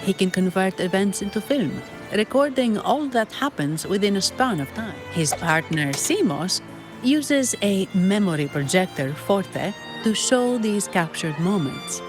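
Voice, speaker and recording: A practical investigator and guide in a fantasy game. She speaks in a measured, courteous manner at a middling pitch, with music clearly behind her. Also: big pitch swings. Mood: reassuring, explanatory. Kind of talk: story video game voice acting.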